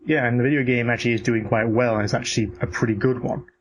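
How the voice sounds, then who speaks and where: A man's voice: medium pitch, fairly even intonation, quick pace, poor audio. Guy ranting casually, swears a lot, blunt opinions, bit of noise behind him. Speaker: podcast co-host. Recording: noticeable background noise.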